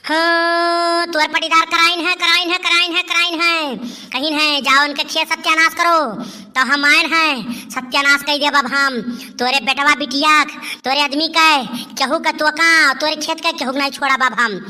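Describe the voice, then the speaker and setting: Casting: a woman as a vengeful possessing spirit for a village cartoon, high bright voice, threatening, repetitive chanting. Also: steady tone, moderate pace. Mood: angry, threatening. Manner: threatening, chanting repeated phrases. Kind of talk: village comedy cartoon dialogue.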